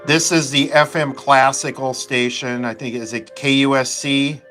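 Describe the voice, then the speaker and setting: An American man giving casual, off-the-cuff running commentary as a hobbyist host, haltingly thinking aloud. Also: mid-range voice, fairly even intonation, music in the background.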